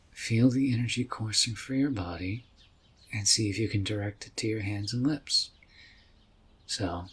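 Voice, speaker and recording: Man playing a husky, easygoing wizard mentor. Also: on the low side, heavily acted. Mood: soft, guiding. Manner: folksy, relaxed, reassuring. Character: easygoing, friendly male fantasy mage mentor. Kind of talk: roleplay ASMR audio drama.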